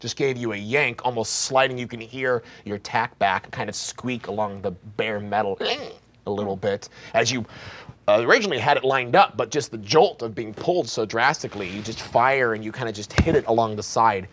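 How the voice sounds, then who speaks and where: A man running a tabletop game, narrating the action in a halting, descriptive way. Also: medium pitch, fairly bright tone. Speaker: game master. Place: studio set at a table.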